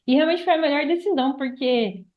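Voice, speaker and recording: High-voiced Brazilian woman, dull audio. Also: fairly quick. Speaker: podcast guest, affiliate marketer. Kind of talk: casual podcast conversation.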